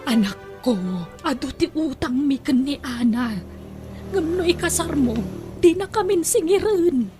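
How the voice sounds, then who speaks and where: High-pitched pleading mother. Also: measured pace, over music. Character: pleading indebted mother pushing her son to marry. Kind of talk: Ilocano radio-style audio drama acting.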